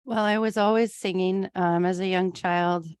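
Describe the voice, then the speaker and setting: A woman's voice: mid-range voice, steady tone, moderate pace, a little mellow, clear, resonant tone, no room sound, clean studio sound. A woman from Iowa, a music therapist being interviewed, answering in an easygoing way with frequent ums. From Iowa, USA.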